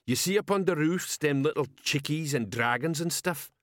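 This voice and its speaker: A man voicing a slow-witted troll sergeant. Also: a little high, a bit bright, no echo, clean studio sound, pitched above the performer's own voice, close to an everyday voice. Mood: knowing. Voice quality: gruff, slow, ungrammatical speech. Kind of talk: audiobook character voice.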